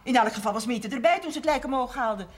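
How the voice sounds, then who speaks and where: A woman's voice: fairly high pitch, fast talker, close to an everyday voice. An actress playing a proud, forthright middle-aged farmer's wife and mother in a period television drama. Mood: reproachful, insistent. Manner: forthright, insistent. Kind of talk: 1970s Dutch television period drama acting.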